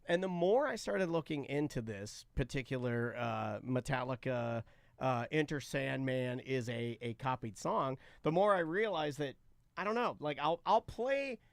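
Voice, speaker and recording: A man's voice: mid-range voice, animated, a little slow, vocal fry, no echo. A man hosting a talk show in casual banter, ranting and repeating himself for emphasis. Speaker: podcast and radio show host.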